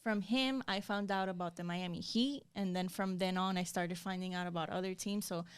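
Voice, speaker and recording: A woman's voice: lowish voice, fairly even intonation, moderate pace, clean tone. A woman in her twenties, from Venezuela, co-hosting a podcast in casual chat and telling stories with easy laughter. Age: 20s. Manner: chatty storyteller, laughs easily. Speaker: podcast co-host.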